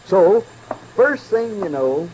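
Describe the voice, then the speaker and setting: A man's voice: high-pitched, big pitch swings, takes it slowly, heavily acted. An actor playing a gleeful, self-satisfied small-town American newspaperman in a 1950s radio western, folksy, eager and boastful. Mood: proud, eager. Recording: hissy old 1950s radio recording.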